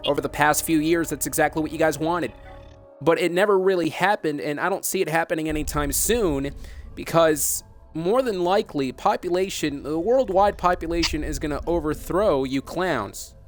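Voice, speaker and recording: A man with a slightly high voice hosts an interview over background music. He is earnest and insistent, polite in form but reproachful as he presses long, challenging questions. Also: moderate pace. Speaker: broadcaster interviewing by phone.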